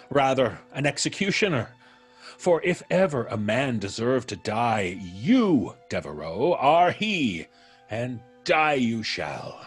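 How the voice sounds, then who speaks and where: Slow, gloating vengeful ex-convict. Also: male voice, mid-range voice, sing-song, breathy edge, soft background music, exaggerated voice. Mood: cold, threatening. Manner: theatrical, drawn-out, florid diction. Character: vengeful, gloating male ex-convict, theatrical and menacing. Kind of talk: old-time radio drama acting.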